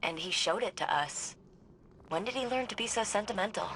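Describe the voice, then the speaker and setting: A woman playing an earnest, thoughtful sci-fi ally in a video game, with a measured, dramatic and reflective delivery. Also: mid-range voice, background noise, lightly acted. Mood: wistful. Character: earnest, thoughtful female sci-fi ally guiding a soldier by comms. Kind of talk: scripted video game dialogue and storytelling. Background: prominent music.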